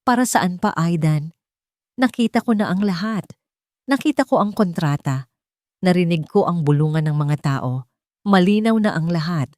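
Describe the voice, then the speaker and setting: A wounded young woman who answers with cold bitterness, as a woman narrator puts her on. Her low voice is dry and close, in a dramatized audiobook read. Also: animated, normal speed, long pauses, a little mellow, no room sound, natural voice. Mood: bitter. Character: hurt, guarded young woman.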